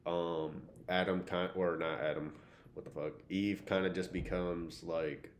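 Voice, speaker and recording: Young male co-host, very deep voice, slangy and profane casual podcast chat. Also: level tone, unhurried, slight background noise.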